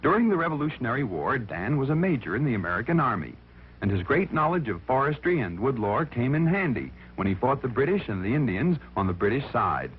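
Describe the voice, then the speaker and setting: A man's voice: medium pitch, sing-song, fairly quick, husky. A man narrating a children's story in a theatrical, emphatic, folksy way, on an old recording with music behind him. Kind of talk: dramatic scripted storytelling for children. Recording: thin, phone-like vintage recording with music behind.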